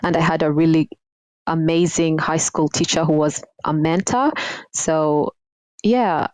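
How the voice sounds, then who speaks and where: A woman from Kenya, a guest designer, answering interview questions in a reflective, unhurried way with frequent ums. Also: sits low, darkish tone, dry recording. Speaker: guest, surface pattern designer.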